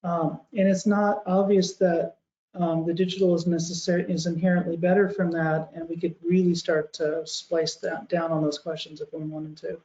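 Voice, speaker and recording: A woman speaking on a video call, her voice fairly low and mellow. The room sounds echoey and the audio is dull. She thinks aloud as she talks, restarting her phrases often. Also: flat delivery, moderate pace. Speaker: panelist. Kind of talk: webinar panel discussion.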